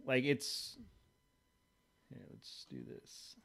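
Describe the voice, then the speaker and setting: A man's voice: drawn-out words, fairly bright tone. A man co-hosting a casual podcast, rambling through his thoughts with frequent restarts and casual swearing. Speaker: podcast co-host. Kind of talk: casual chat.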